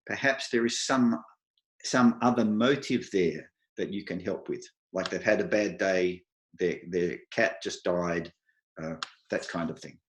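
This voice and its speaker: A middle-aged Australian man giving an advice talk to an online meetup, speaking slowly and clearly with dry humour. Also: low-pitched, echoey room. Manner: slow, deliberate, clear delivery for non-native listeners.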